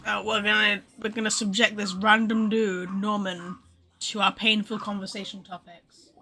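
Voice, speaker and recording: A woman with a bright, forward voice at a middle pitch gives casual game stream commentary. She reacts aloud informally over faint game music. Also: little pitch movement, normal speed.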